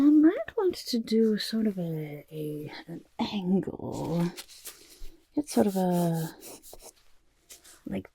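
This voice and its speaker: A woman voicing a smug, eccentric goblin portrait artist in an ASMR roleplay, raspy and muttering, with halting speech that often drops into whispers. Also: fairly low pitch, dark tone, slight background noise, exaggerated voice. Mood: focused, musing. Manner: halting, muttering, refers to self in third person. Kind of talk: ASMR roleplay, personal attention.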